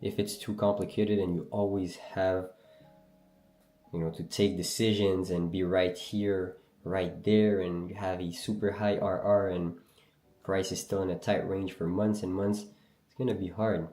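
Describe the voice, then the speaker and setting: A man's voice: sits low, level tone, unhurried, warm tone, reverberant. A young man from Algeria, raised in Canada, with a French Canadian accent, casually chatting and answering viewer questions on a trading livestream. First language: Berber language. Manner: loose, slangy, reads and answers chat. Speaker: livestream trader host.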